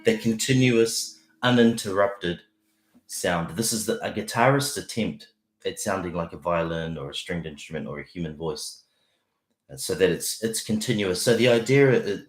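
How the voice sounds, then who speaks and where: A man, teaching guitar on a casual livestream with a croaky morning voice, clearing his throat and rambling with fillers. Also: low voice, moderate pace, roomy sound. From New Zealand. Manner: rambling, friendly, many fillers and restarts. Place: small home office.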